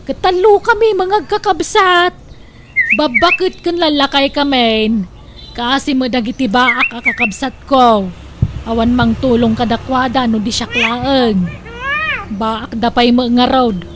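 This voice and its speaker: A woman's voice: sits high, steady tone, a little slow, background noise. A woman playing a bossy, insistent older sister in a comedic radio drama, with a Filipino accent. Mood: bossy, scolding. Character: bossy older sister planning siblings' graves.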